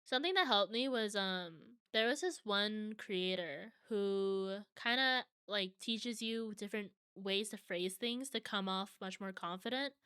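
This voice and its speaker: Young woman streamer chatting w her chat, bright crisp voice, dry close mic. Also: mid-range voice, measured pace, hardly any pauses, dry recording. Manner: chatty, reads viewer comments aloud and answers them. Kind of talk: casual chat with viewers.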